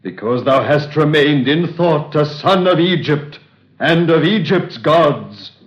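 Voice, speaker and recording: Brief: a solemn ancient god of the dead, very slow and grave, old-fashioned speech, dull echoey recording. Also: male voice, fairly high pitch, lively intonation. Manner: archaic, grave, stately delivery. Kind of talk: 1930s radio drama acting. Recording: dull roomy 1930s radio recording.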